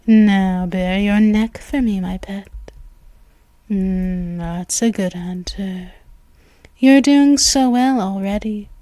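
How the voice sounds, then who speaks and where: A woman voicing a dominant, possessive female vampire in an ASMR roleplay, speaking softly and often in a hushed whisper close to the mic. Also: medium pitch, no echo. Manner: commanding and purring. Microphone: close mic, intimate.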